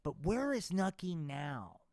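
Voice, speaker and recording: A man's voice: a little high, very animated, slow pace, a little mellow, no echo, studio quality. Rambling profane man puppeteer. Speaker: puppeteer host. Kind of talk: live puppet show narration.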